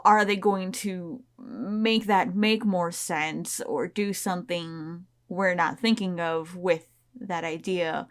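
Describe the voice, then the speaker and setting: Mid-pitched woman, casual podcast guest. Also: clear, resonant tone. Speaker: podcast panelist.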